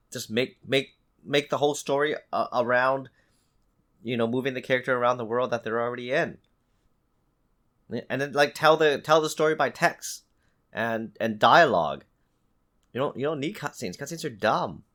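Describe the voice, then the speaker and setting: A man with a lively, swinging pitch argues his case in a casual podcast chat. He is emphatic and insistent, restarting and repeating phrases as he pushes his point. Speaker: podcast co-host.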